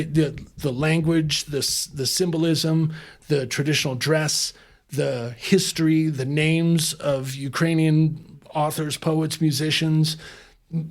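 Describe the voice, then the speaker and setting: A man guest in a conversational interview, speaking in an impassioned, emphatic way with frequent restarts. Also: on the high side. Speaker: interview guest, former chef turned humanitarian.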